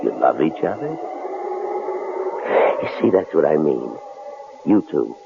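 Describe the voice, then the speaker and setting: A man's voice: rumbling low, sing-song, slow pace, gravelly, heavily acted. An actor playing a menacing, lecherous intruder who taunts his captives in a folksy, insinuating drawl, in an old-time radio drama. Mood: leering, mocking. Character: menacing, lecherous, mocking male intruder holding a couple captive. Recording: lo-fi phone-like radio recording, faint noise.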